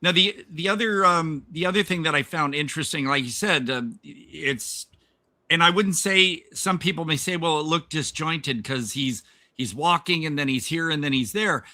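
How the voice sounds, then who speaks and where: A man's voice: fairly high pitch, moderate pace, bright, forceful tone, dry recording, clean studio sound. A man hosting a casual film podcast, enthusiastic about the movie but hesitant and full of fillers as he builds his points. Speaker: podcast host.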